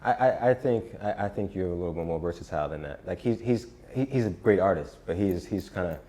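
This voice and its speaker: A man's voice: sits low, animated, fast, mellow. A man hosting a casual interview, often repeating words as he starts his sentences. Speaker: host, interviewer.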